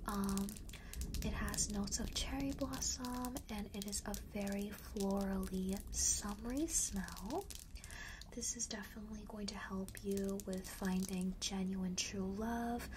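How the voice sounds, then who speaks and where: A soft, breathy whisper comes from right at the microphone, with clear noise behind it. It is a friendly young witch running a perfume shop, acted by a woman for an ASMR roleplay. She coaxes and reassures gently, in casual, slangy phrasing. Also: medium pitch, close to an everyday voice. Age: young adult. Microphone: close large studio microphone.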